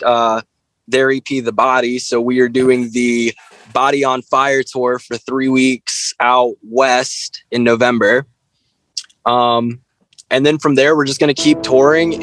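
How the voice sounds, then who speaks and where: A man with a mid-pitched voice talks informally as a guest in an interview. His delivery is halting and conversational, full of "like" as he works out his thoughts. The sound is close and dry. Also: dry recording. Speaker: interview guest, band frontman. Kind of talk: casual interview chat.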